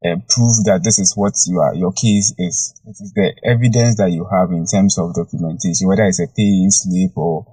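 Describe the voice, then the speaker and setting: A man's voice: lowish voice, mellow, no echo, low-quality recording. A man speaking as a guest on a live audio chat, working through his point step by step with some ums. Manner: methodical, builds points step by step. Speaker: guest panelist. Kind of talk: casual discussion.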